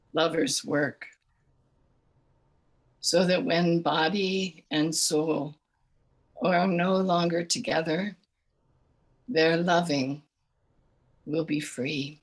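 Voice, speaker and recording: A woman with a raspy voice reading a poem aloud slowly and softly over a video call. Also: low voice, steady tone, rough recording. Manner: soft, slow, deliberate pauses. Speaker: poetry reader.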